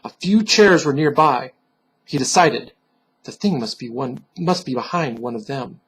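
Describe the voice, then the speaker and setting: A man giving a solemn, theatrical reading of a gothic horror story, deliberate and dropping to hushed tones. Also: mid-range voice, animated, slight background noise, low-quality recording. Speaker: storyteller, reader.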